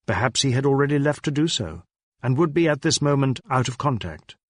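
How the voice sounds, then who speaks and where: A man reading a crime novel as scripted dramatic narration, in a measured, literary storytelling manner. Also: medium pitch, lively intonation, dry recording, clean studio sound. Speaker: audiobook narrator.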